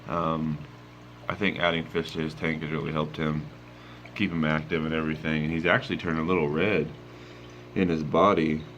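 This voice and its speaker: Sleepy young man with a very low voice. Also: normal speed, highs cut off, a little hiss or hum. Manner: relaxed, rambling with many ums. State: sleepy, just woke up. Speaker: aquarium vlogger. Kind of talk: casual walkthrough vlog. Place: home room with fish tanks, indoors. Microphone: handheld phone camera.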